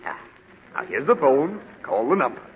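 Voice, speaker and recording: Officious doctor for old radio comedy: somewhat high male voice, very animated, formal, emphatic, quick to bristle. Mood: instructive. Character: pompous, insistent, easily riled male doctor. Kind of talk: 1940s radio comedy acting. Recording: muffled vintage 1940 radio recording, faint noise.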